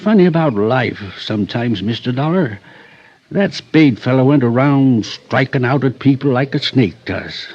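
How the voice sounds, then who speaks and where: An actor playing a sly, unflappable rustic outdoor guide with a homespun rural Western American drawl, in a vintage radio drama. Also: male voice, mid-range voice, lively intonation, normal speed, darkish tone, lightly acted. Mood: somber, folksy. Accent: rural American West. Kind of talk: 1940s-50s radio drama acting. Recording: dull, hissy old radio recording.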